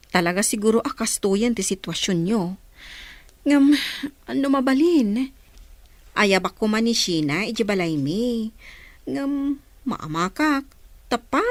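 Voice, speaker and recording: A woman plays a concerned older village confidante in a radio drama. Her sympathetic voice is on the high side, Ilocano-accented, recorded close and dry. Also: dry recording, natural voice.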